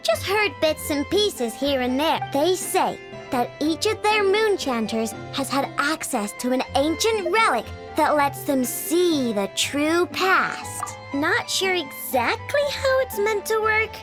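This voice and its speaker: A woman's voice: high-pitched, sing-song, takes it slowly, full character voice. A woman voicing a perky, boastful young girl inventor in a video game, with a childlike, casual way of talking. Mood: casual, skeptical. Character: excitable, snack-loving young girl inventor, proud household head. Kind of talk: animated video game voice acting. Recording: music behind the voice.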